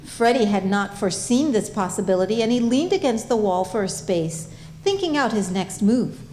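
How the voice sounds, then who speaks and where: A woman's voice: mid-range voice, lively intonation, normal speed, warm tone, a little hiss or hum. A woman reading scripted comic narration as the storyteller of a staged reading. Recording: roomy, echoing live recording.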